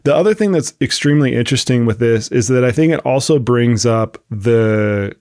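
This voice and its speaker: A man's voice: medium pitch, expressive, normal speed, a little mellow, clean tone, dry recording, clean studio sound. A man hosting a podcast with a cold and a sniffle, explaining at length in a casual, thinking-aloud way with frequent restarts. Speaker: podcast host, coach. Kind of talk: casual expert discussion.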